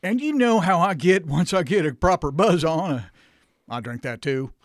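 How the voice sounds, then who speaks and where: An actor playing a hungover, good-natured backwoods cousin in a radio comedy, rambling folksily with a Southern accent. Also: male voice, a little high, expressive, moderate pace, no room sound, studio quality, close to an everyday voice. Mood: rambling confession. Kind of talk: live radio comedy acting.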